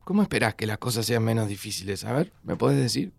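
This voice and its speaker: A man's voice: lowish voice, very animated, quick pace, creaky, darkish tone, no room sound, heavily acted. An actor playing an anguished, sardonic young Argentine student, devoted to his best friend, in a naturalistic audio drama. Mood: sarcastic, frustrated. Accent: Argentine Rioplatense. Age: young adult. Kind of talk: naturalistic audio fiction acting.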